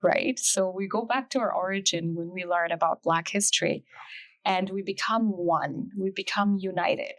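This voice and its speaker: A woman's voice: fairly low pitch, moderate pace, a bit bright, poor audio. Effusive woman host. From Bangladesh. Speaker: podcast host. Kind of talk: conversational interview.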